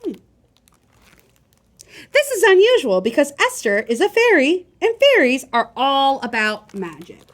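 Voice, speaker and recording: High, animated woman reading to children. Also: measured pace, pauses often. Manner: animated, expressive, talks directly to young listeners. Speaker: library storytime host and reader. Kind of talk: children's picture-book read-aloud with chatty asides to listeners.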